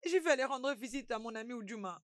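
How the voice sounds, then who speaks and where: A woman's voice: a little high, animated, fast talker, dry recording, studio quality, close to an everyday voice. A young woman playing a headstrong daughter who pleads for her freedom, protesting and plaintive, in a dubbed melodrama. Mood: pleading. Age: young adult.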